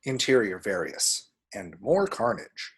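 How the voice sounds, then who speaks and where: A man with a husky voice reads screenplay action descriptions aloud as a narrator. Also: fairly low pitch, sing-song, a little slow, a little hiss or hum. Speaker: table-read action narrator.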